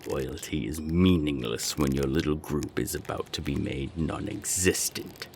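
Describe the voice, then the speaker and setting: A man playing a menacing naga gang boss in an audio roleplay, gravelly and deliberate, with cold, taunting threats. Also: darkish tone, a little hiss or hum. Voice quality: very deep, gravelly. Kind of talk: audio roleplay drama acting.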